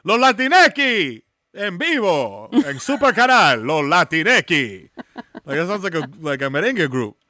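A man's voice: high voice, sing-song, a little slow, bright, forceful tone, dry recording, clean studio sound. A man from the Bronx in his thirties or forties with a New York accent, Spanish his first language, talking casually as a guest and laughing often, full of slang and fillers. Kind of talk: casual conversational interview.

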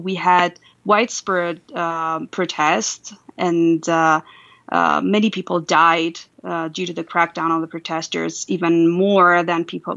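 A woman's voice: lowish voice, creaky, fairly bright tone, dull audio. A woman from Iran whose first language is Farsi, answering as a political analyst on a radio interview with many fillers and restarts.

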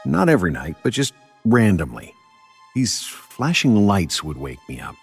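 Male actor as grown man, low animated voice, wry colloquial horror storytelling, uneasy childhood memory, music underneath. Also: unhurried, creaky, husky, full character voice. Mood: uneasy, wry reminiscing. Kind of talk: first-person horror storytelling over music.

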